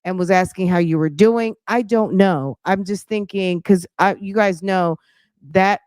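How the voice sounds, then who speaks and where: A woman's voice: mid-range voice, unhurried, a little mellow, clear, resonant tone, no room sound, studio quality. A woman hosting a casual commentary show, thinking aloud in a sassy, pointed way with rhetorical questions and emphatic repetition.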